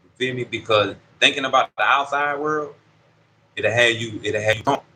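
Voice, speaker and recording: A man in his thirties speaks from a prison dorm with a bright, forceful voice, talking in street slang and often checking that the listener follows him. Faint ambient noise sits behind him. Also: mid-range voice, normal speed, poor audio. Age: 30s. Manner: street slang, keeps asking "you see what I'm saying". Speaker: incarcerated interviewee. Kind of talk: casual conversational storytelling.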